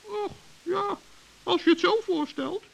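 A man playing a small, earnest, trusting forest gnome with a squeaky, creaky voice in a children's radio play. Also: takes it slowly, full character voice, poor audio. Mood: hesitant, conscientious. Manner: earnest, naive, polite.

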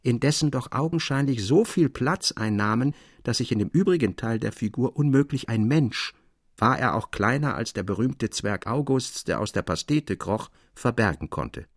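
Thoughtful literary storyteller, husky, close dry sound, formal expressive narration, measured phrasing, eerie tales. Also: male voice, mid-range voice, dry recording. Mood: composed, intriguing.

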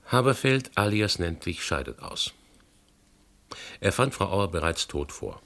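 An actor playing a probing, politely ironic and sceptical investigator in a German radio play, questioning formally and deliberately with a gravelly, husky voice. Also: male voice, sits low, big pitch swings, sharp tone, heavily acted. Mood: dry irony.

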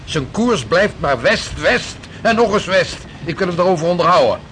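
An actor playing a stern, decisive explorer at sea in a historical radio drama. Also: male voice, high voice, animated, normal speed, a bit bright, slight background noise. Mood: firm. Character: stern, decisive seafaring explorer, impatient ship's officer.

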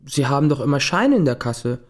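A man's voice: mid-range voice, sing-song, a little fast. A young male actor playing a cheeky, wary schoolboy in a radio drama, plain-spoken and defiant. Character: cheeky, wary schoolboy, son of a cold father.

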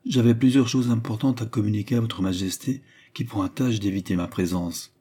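Man playing a grave, anxious cardinal, husky. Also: fairly low pitch, fairly even intonation, fairly quick. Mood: uneasy. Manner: deferential, hushed, formal. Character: grave, anxious cardinal addressing his queen. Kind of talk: dramatized historical novel reading.

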